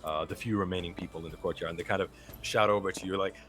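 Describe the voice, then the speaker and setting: A man narrating a tabletop game as game master, relaxed and full of fillers. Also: low-pitched, racing.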